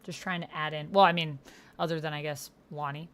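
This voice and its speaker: A woman's voice: low voice, fairly quick, clear, resonant tone. A woman playing and hosting a text roleplay, reading out posts and reacting casually and expressively. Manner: expressive, gasping and exclaiming reactions between read passages. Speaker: solo tabletop roleplay player and host.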